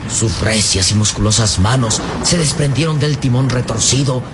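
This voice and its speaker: A man's voice: mid-range voice, normal speed, noisy background. A man narrating a melodramatic radio serial in solemn, ornate phrasing. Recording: radio drama with loud storm and engine effects.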